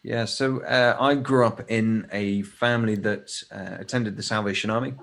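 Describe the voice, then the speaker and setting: A man's voice: on the low side, steady tone, moderate pace, gravelly, a bit bright. An English man in his thirties, a podcast guest talking casually and thoughtfully, with many fillers and restarts. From England. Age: 30s. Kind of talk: casual conversation.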